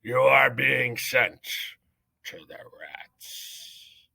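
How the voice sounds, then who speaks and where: Storybook mouse elder, pompous and stern, deliberate booming delivery, slightly gravelly, put on by a male reader. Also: fairly even intonation, pushed and bright, no room sound, full character voice, poor audio. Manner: booming, stern, slow and solemn. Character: pompous old male head mouse judge. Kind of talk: audiobook character reading.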